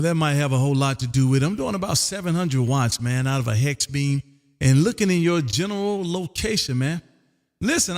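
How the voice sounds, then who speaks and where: A man from Texas hosting an amateur radio live stream, making calls and chatting casually in a folksy showman's way. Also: on the high side. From Texas, United States. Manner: folksy showman, drawn-out call signs, peppered with "man". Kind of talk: ham radio contact calls and casual chat. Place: home radio room. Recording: long hall-like reverb.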